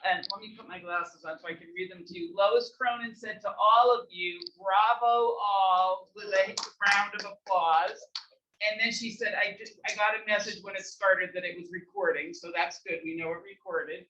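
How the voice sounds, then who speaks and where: A woman's voice: mid-range voice, fairly even intonation, normal speed, pushed and bright, recorded from a distance. A woman event host speaks informally in an echoey room. She sounds a little away from the mic, with a slightly dull tone and faint noise around her. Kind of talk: informal event hosting, reading out comments.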